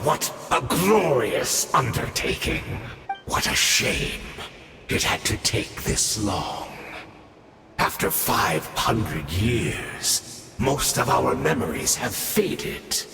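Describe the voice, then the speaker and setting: A gravelly, grandiose exiled villain. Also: male voice, on the low side, background noise, exaggerated voice. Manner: theatrical, polished menace. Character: scheming male exile villain, grandiose and smug. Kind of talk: video game dialogue. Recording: echoey reverb with game music.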